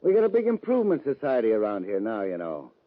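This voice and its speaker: A man's voice: fairly low pitch, huge pitch swings, fast talker. A man playing a falsely friendly, smug western town boss with veiled menace in an old-time radio drama. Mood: smug, taunting. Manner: oily, taunting, colloquial. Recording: muffled old radio recording.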